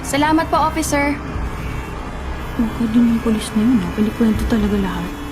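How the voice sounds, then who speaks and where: A woman's voice: fairly high pitch, expressive, a little fast, loud music behind the voice, close to an everyday voice. An actress playing an irritable, sleepy young woman race driver in a Filipino radio drama, snappy and sulky, with a Filipino accent. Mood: sullen.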